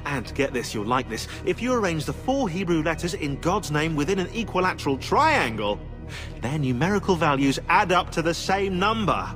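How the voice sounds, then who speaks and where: An actor playing a sarcastic, excitable know-it-all historian in a video game, eagerly explaining. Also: male voice, on the high side, moderate pace, music in the background. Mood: excited, smug. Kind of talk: video game dialogue acting.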